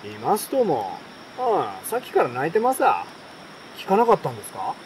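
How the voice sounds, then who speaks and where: A man's voice: fairly high pitch, huge pitch swings, moderate pace, low-quality recording. A man voicing a folksy, matter-of-fact bird catcher in a storybook, explaining things in a colloquial, self-assured way. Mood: matter-of-fact, insistent. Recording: outdoor night crickets and campfire noise.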